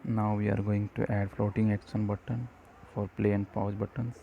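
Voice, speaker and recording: A man slowly talking through app-building steps in a coding tutorial, dictating each step with short pauses and fillers. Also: fairly low pitch, creaky, narrow, tinny audio, a little hiss or hum. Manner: slow, flat dictation of code steps with "uh" fillers. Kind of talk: screen-recorded coding walkthrough.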